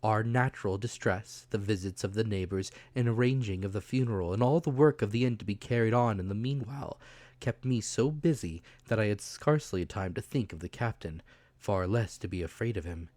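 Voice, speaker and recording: A man's voice: on the low side, a little fast, a little mellow, no room sound. A man reading a classic adventure novel aloud as dramatic audiobook narration.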